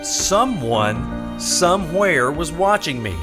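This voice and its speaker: A man's voice: a little high, sing-song, slow pace, music in the background. A man acting a wry, laconic hardboiled private detective in a radio drama, narrating his own case and talking to others. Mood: wry, brooding. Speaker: lead actor and narrator. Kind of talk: old-time radio detective drama, first-person narration.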